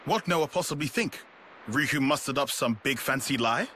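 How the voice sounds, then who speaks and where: A man's voice: mid-range voice, lively intonation, normal speed, pushed and bright, slight background noise. A man voicing a small, blunt, secretive male creature in a video game, speaking in clipped broken grammar and naming himself in the third person. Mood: matter-of-fact. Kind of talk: video game cutscene voice acting.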